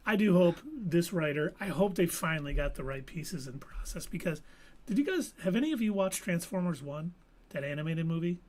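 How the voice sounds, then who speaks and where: A man co-hosting a live podcast, giving firm, animated opinions in casual chat. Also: fairly high pitch, fairly quick. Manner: opinionated, animated movie talk. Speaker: podcast co-host.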